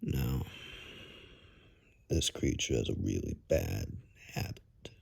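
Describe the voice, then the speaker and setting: Man playing a very husky, low whispering forest guide. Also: heavily acted. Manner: hushed, halting, gently reassuring. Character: cautious male forest dweller guiding a lost stranger to safety. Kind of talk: whispered ASMR roleplay.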